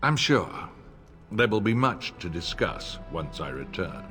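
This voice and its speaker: An actor playing a smug, middle-aged nobleman and war veteran in a video game, formal and sardonic, with a husky voice. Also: low-pitched, very animated, measured pace, sharp tone, exaggerated voice. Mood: smooth, condescending. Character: older nobleman, old war comrade, smug, wry, insincerely courteous. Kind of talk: video game cutscene acting. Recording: long reverb, music underneath.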